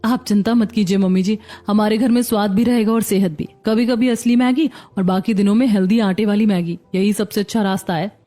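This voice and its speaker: A woman playing a kind, hopeful young daughter-in-law who talks gently and reassuringly in a cartoon story. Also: medium pitch, steady tone, a little fast, few pauses, close to an everyday voice. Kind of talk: Hindi cartoon story acting.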